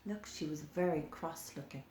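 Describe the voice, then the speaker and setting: A woman's voice: low voice, normal speed, warm tone, slight background noise. A woman reading a picture book aloud to young children, explaining and pointing things out as she goes. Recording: echoey room.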